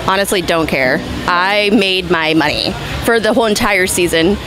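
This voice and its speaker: A woman's voice: lowish voice, normal speed, poor audio. A woman fan, blunt and laughing, speaking in a casual street interview. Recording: outdoors with music and crowd.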